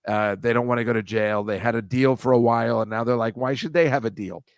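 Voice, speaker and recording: A man's voice: mid-range voice, fairly even intonation, quick pace, no room sound. A man hosting a loose comedy talk, wry and rambling, with a mid-range voice. He chuckles at his own lines and rattles through topics. The recording is close and dry. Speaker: host. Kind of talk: casual comedic chat.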